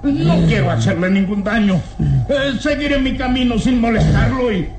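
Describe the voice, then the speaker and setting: An actor playing an excitable peasant man in an old radio drama, exclamatory and theatrical. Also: very high voice, normal speed, background noise. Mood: nervous, wary. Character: frightened peasant man, blustering drunk bravado turning to terror. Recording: dramatic radio serial music behind.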